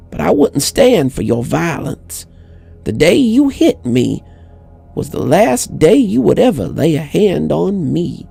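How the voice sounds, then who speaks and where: A man voicing a frail, bitter old widow in a horror story, speaking slowly and dramatically. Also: a little high, vocal fry, mellow, breathy edge, pitched above the performer's own voice, heavily acted. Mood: grim confessing. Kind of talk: acted horror story dialogue.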